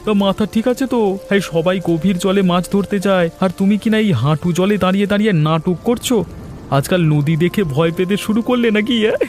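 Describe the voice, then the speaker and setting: A brash, mocking young fisherman in a cartoon folk tale, acted by a man. His high voice fires off teasing accusations quickly. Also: darkish tone, faint music behind, close to an everyday voice. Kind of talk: Bengali moral-tale cartoon voice acting.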